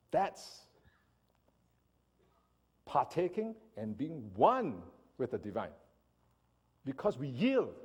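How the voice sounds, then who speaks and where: A man from Singapore giving an animated church seminar talk and often asking his listeners "right?". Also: medium pitch, breathy edge. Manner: animated lecturing, asks audience "right?". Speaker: speaker.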